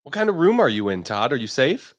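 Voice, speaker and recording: A man's voice: medium pitch, very animated, a little fast. A man co-hosting a markets show, chatty and teasing, laughing easily. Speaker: co-host. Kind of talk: casual chat.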